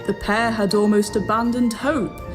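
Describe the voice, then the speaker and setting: A woman's voice: a little high, fairly even intonation, moderate pace. A woman narrating a scripted fairy tale as the storyteller of a pantomime. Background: music.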